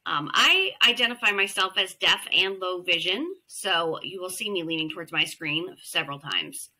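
Woman moderator, bright intense voice, encouraging questioner. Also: on the low side, normal speed, low-quality recording. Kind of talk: panel moderation on video call.

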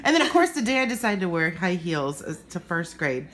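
A woman's voice: lowish voice, sing-song, fairly quick, fairly bright tone. A young woman, casually telling childhood stories and acting out remembered remarks. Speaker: daughter recalling childhood. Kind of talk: casual storytelling chat. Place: home kitchen and dining area, indoors.

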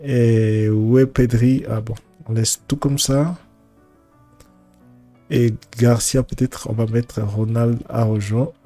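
A male gaming streamer talks casually through a football match in a mellow, slightly muted tone. He sounds congested with a cold and speaks haltingly, repeating words as he thinks aloud. Music plays behind him. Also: mid-range voice, fairly even intonation. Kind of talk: casual commentary over a football video game.

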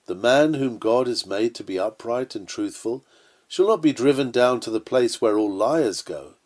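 A man's voice: mid-range voice, expressive, normal speed, darkish tone. A man reading an old-fashioned sermon aloud in an earnest, oratorical manner. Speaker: sermon reader.

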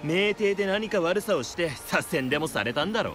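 A man's voice: sits high, expressive, a little fast. A man voicing a blunt, condescending and suspicious male character in a Japanese video game. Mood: scornful. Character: scornful, suspicious man gossiping about a rival. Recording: loud music behind the voice.

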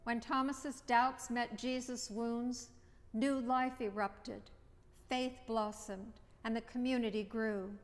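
A middle-aged woman priest preaching a sermon at a lectern, measured and reflective, with short repeated phrases. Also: fairly high pitch, fairly even intonation. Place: church chancel, indoors. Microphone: at a lectern, far camera. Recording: long church reverb.

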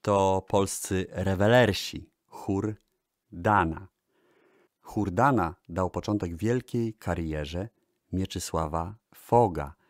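A man presenting archival records in a radio-style narration, speaking in measured phrases with pauses between them. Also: low voice, dry recording.

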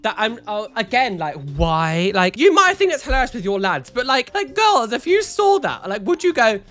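Sassy, congested man with a lively, bright voice. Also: very high-pitched, moderate pace, soft background music. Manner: animated, sassy, dramatic exclamations. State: congested, nasal from a cold. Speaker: YouTuber reacting to photos. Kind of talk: camp, chatty reaction commentary. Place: home studio room with neon lights. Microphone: studio mic on a boom arm, close.

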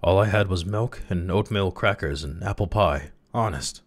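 A man's voice: low voice, moderate pace. Man playing a meek, quavering young clerk. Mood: quavering, nervous. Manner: quavering, hesitant, earnest. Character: meek, timid, weak-chinned thirty-year-old office clerk. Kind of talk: dramatized story reading.

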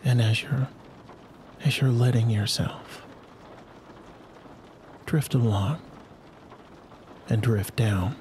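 A man narrating a guided sleep hypnosis in a soothing, unhurried manner with long pauses. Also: medium pitch, a little mellow, slight background noise. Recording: rain and thunderstorm sounds behind the voice. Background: rain and distant thunder ambience.

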